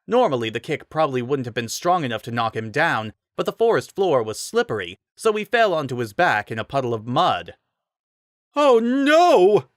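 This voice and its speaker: A man's voice: medium pitch, a bit bright, no room sound, clean studio sound. A man giving a dramatic reading of a fantasy story as narrator.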